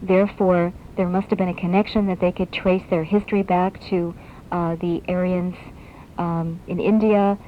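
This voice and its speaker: A woman's voice: lowish voice, little pitch movement, normal speed. A woman hosting a radio interview, thinking aloud as she asks inquisitive, piled-up questions in a conversational way. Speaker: radio show host interviewing a guest. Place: radio broadcast, taped off air. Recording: muffled, noisy shortwave radio broadcast on cassette.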